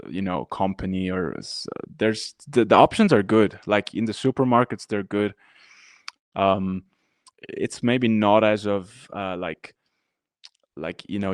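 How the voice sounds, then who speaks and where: A man from northern Italy talking casually in a podcast interview, his lowish voice smooth and fairly flat. He rambles with many fillers and restarts. The sound is dry and close. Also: no room sound. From Dolomites region of northern Italy. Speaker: guest, activist rapper.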